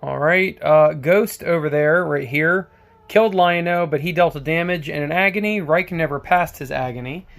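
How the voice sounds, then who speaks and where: A man getting over a cold, hoarse, narrating a tabletop wargame battle recap as its independent designer, with plenty of ums and uhs. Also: on the high side, normal speed, a bit bright. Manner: rambling play-by-play with many fillers.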